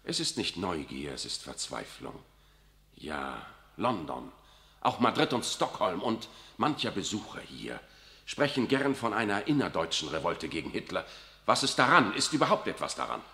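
A smooth, evasive old cardinal in a 1960s radio play. His voice is lowish and bright, and it rises and falls freely. He sounds unctuous and keeps fishing for agreement. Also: big pitch swings, normal speed, exaggerated voice. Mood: sly. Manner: unctuous, keeps asking "nicht wahr". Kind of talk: 1960s German radio drama acting.

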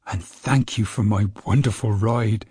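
A man voicing a nervous, excitable old grandmother in a storybook, with high fluttery exclamations. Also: unhurried, breathy edge, no room sound, clean studio sound.

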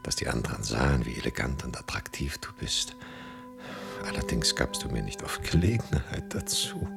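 A vain, mature husband in a radio drama. His low voice swoops widely between dry irony and grand declarations of love. There is a gravelly edge to his theatrical delivery. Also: huge pitch swings, moderate pace, over music, heavily acted. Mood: dry, reproachful. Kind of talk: radio play acting, theatrical.

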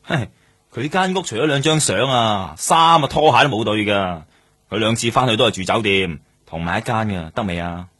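An actor playing a gruff, streetwise police detective in a radio drama, offhand and dismissive, talking slangy Hong Kong Cantonese. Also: male voice, lowish voice, expressive, normal speed, fairly bright tone. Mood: offhand, irritated.

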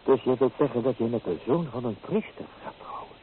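An actor playing a teasing, free-spirited young painter in an old Dutch radio play, with theatrical delivery. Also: male voice, medium pitch, lively intonation, brisk, close to an everyday voice. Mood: teasing, surprised. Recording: muffled, noisy old radio recording.

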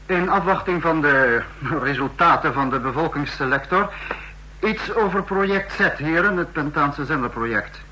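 Cold fascist leader, formal and menacing, slightly high bright voice, old radio drama. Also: male voice, expressive, moderate pace, slight background noise, poor audio. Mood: coolly commanding. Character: cold, commanding dictator of a fascist state.

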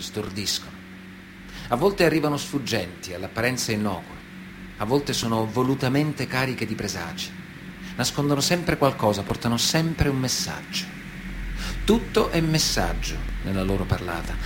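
A man reading a scripted literary narration for radio theatre in a measured, solemn manner. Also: medium pitch. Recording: clear background noise.

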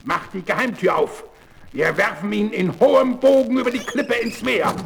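Creaky, high-pitched, bossy man. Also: moderate pace, noisy background. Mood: menacing. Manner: commanding. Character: gruff, bossy man barking orders. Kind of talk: children's radio play acting.

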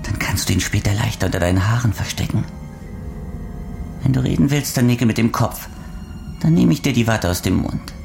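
An actor playing a coldly menacing criminal interrogator in a crime radio drama, mock-courteous, taunting and deliberate. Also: male voice, medium pitch, lively intonation, vocal fry, a little mellow, faint music behind. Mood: sadistic, menacing.

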